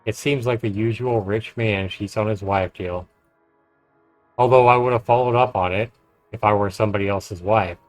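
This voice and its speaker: Male streamer, slightly deep voice, measured visual novel narration, casual asides, faint game music. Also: steady tone, no room sound.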